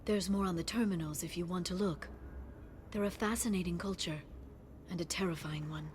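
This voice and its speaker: A woman playing a gentle, scholarly alien information broker in a video game, speaking softly and earnestly. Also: on the low side, a little fast, a little hiss or hum, close to an everyday voice. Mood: scholarly, thoughtful.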